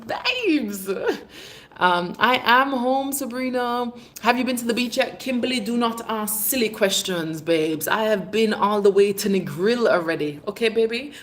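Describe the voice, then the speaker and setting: A woman in her thirties from England with a mixed British and Jamaican accent, chatting casually on a livestream and fondly calling viewers babes and darling. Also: fairly high pitch. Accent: British English mixed with Jamaican. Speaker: livestream host. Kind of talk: casual live chat, reading viewer comments.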